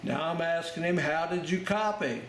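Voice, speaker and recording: Man, slightly high voice, unhurried plainspoken instructor, step-by-step tutorial, very noisy recording. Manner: plainspoken, step-by-step, explains each action as he goes. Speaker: amateur radio Morse code instructor. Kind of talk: informal hands-on tutorial narration. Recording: roomy, very noisy, with clicking and beeps.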